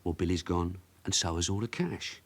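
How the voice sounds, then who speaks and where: An actor playing a shrewd, probing veteran police sergeant, in naturalistic radio drama style. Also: male voice, low-pitched, moderate pace, creaky. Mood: coaxing, probing. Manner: probing, knowing, colloquial. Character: shrewd veteran London police detective sergeant, persistent, coaxing.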